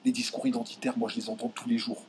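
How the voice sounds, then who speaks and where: A young man from France ranting straight to his viewers, indignant and heated, stumbling over his words and pushing his voice up high when he gets angry. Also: level tone, quick pace, a little mellow, slight background noise. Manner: indignant, voice jumps high when outraged, many restarts. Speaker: video commentator. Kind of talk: heated direct-to-camera rant.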